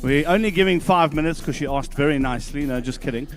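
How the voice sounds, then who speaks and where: A man hosting a live pitch event as MC, announcing from the stage in a joking, rallying manner. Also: fast talker, soft background music. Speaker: event host and MC.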